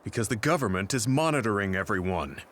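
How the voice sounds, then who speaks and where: Animated, wary male anime squad member. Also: on the low side, fast talker. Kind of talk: English-dubbed anime game acting.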